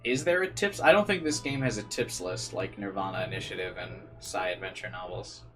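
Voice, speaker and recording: A man's voice: deep voice, fairly quick, sharp tone. Man streaming, guitar music behind. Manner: incredulous, rambling, peppered with "like". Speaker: streamer playing and reading a visual novel aloud. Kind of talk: casual livestream commentary mixed with read-aloud game narration.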